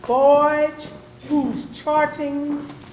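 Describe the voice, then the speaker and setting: A woman giving a solemn, deliberate, dramatic recitation of poetry in a readers theatre. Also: sits high, level tone, a little mellow. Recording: echoey room with faint noise.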